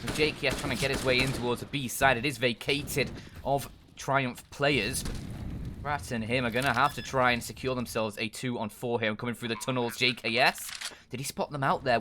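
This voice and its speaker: Bright-voiced male play-by-play caster. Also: on the high side, slight background noise. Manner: rises to excited shouting on big plays. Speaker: esports caster. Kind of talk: play-by-play commentary.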